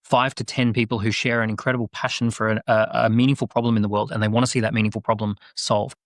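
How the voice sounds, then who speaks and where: A man with a low voice talks quickly and persuasively as a guest on a podcast. He is animated and makes his points in long runs of speech. The sound is dry and close. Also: dry recording. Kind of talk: podcast conversation.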